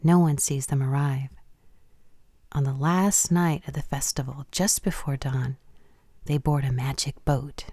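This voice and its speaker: A woman's voice: very deep voice, fairly even intonation, measured pace, mellow, dry recording, studio quality. An actress playing a proud, coy young Japanese woman who teases and tells tales with precise wording in a radio play. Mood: hushed, mysterious.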